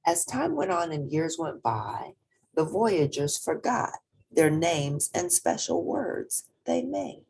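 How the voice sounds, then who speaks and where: A woman reading a children's picture book aloud in a gentle, unhurried, expressive way. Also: low-pitched, vocal fry, slight background noise. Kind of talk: expressive storybook read-aloud.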